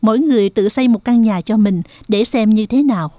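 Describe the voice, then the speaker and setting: A woman's voice: a little high, a little fast, dark tone, dry recording, close to an everyday voice. A woman voicing a caring, protective mother pig in a children's cartoon. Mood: reassuring.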